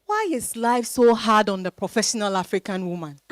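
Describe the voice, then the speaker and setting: A woman with a Ghanaian accent playing an independent, frustrated professional wife in an expressive dramatized stage reading. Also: mid-range voice, brisk, dry recording, studio quality, close to an everyday voice. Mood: exasperated.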